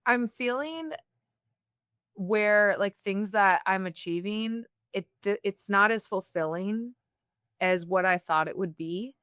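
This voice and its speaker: A woman from the United States hosting an interview, talking in a candid, reflective way with quick bursts. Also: mid-range voice, a bit bright, clean tone, dry recording, studio quality. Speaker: podcast host. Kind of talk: conversational interview.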